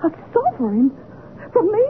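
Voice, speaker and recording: A woman's voice: extremely high pitch, huge pitch swings, normal speed, exaggerated voice. An actress playing a timid but cheeky poor young street girl, hesitant and deferential, in old radio drama. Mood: wary, grateful. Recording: tinny, noisy old radio recording.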